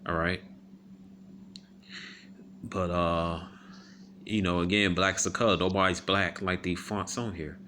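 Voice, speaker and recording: A man giving casual commentary on a video. He reads viewer comments aloud, rebuts them, pauses often with "um," and keeps asking "all right?". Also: low-pitched, steady tone, bright, forceful tone, slight background noise. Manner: halting, many ums and repeated "all right?" tags.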